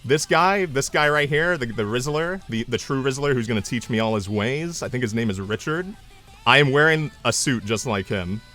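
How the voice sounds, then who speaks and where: A young man chatting casually on his live stream, mocking himself and breaking into loud triumphant yells. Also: mid-range voice, normal speed, soft background music.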